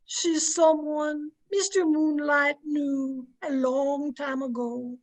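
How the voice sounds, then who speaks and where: An actress as a high-pitched, solemn, devoted woman. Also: level tone. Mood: solemn grief. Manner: solemn, deliberate. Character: devoted family woman, solemn, grieving, gently commanding. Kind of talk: old-time radio play acting.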